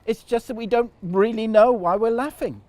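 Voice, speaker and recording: A man's voice: very high voice, normal speed, a little mellow, no echo, voice pushed up. A man voicing an eager, gushing female goat who loves food, in a children's storybook. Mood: cheerful. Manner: gushing, enthusiastic.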